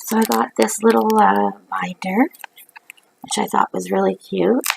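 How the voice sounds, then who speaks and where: A middle-aged woman from Canada, hoarse from a cold, talking into a webcam from her living room couch at night. Her voice is deeply gravelly and full of fry. She rambles in a chatty way, and a faint hiss sits under the recording. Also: steady tone, a little slow, low-quality recording. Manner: rambling, chatty, many ums. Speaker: craft vlogger. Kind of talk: casual haul video. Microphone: webcam at close range.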